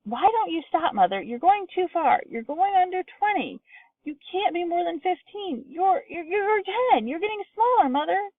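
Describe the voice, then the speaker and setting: A woman reading aloud, voicing a frantic middle-aged mother with a shrill, wailing voice. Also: animated, normal speed, no echo. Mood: panicked. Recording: distant tinny phone-like sound.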